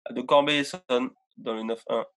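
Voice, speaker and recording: A man's voice: mid-range voice, steady tone, normal speed, a bit bright. A man in his twenties with a French Guianese accent, chatting casually as a guest on a video call. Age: 20s. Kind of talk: casual chat. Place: indoor room, video call.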